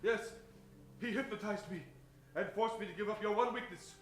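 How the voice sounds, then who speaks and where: A man playing an indignant, hypnotized comic sidekick, halting and theatrical, in a radio serial parody. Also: high voice, lightly acted. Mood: strained, entranced. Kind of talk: live old-time radio parody acting. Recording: roomy stage sound, faint music.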